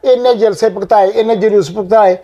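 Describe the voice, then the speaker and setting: A man's voice: high voice, steady tone, a little fast, warm tone, dull audio. A man playing a bossy household guru in a Punjabi comedy sketch, scolding and theatrical. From Punjab, Pakistan. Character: bossy middle-aged transgender household guru. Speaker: head of the house, called guru. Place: small bedroom indoors.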